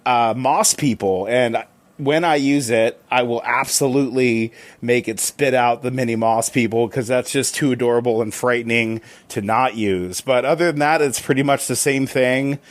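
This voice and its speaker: A man's voice: mid-range voice, dry recording. A man leaving a casual, chatty voicemail in an informal, slangy and enthusiastic manner. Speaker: podcaster leaving a listener voicemail.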